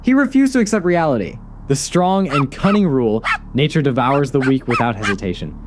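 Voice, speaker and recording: A man's voice: mid-range voice, lively intonation, brisk, lightly acted. An actor playing a smug, ruthless male villain in an audio drama, sneering with mock politeness and wordy taunts. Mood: contemptuous, ruthless. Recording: clear background noise behind.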